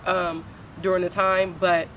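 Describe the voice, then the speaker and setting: A young woman from Oakland with a deep voice, speaking candidly as a poet guest in a casual interview, full of slang and swearing. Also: flat delivery, measured pace, fairly bright tone, slight background noise, poor audio. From Oakland, California.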